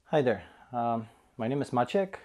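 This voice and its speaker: A man's voice: mid-range voice, animated, normal speed, mellow. Hesitant man with a Polish accent. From Poland. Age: 30s. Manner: hesitant, frequent "uh" fillers. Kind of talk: personal testimony.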